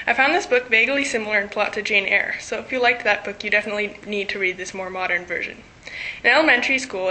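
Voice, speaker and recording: A woman's voice: medium pitch, pushed and bright, rough recording. A teenage girl reading a scripted book review aloud. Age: teens. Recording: faint background noise.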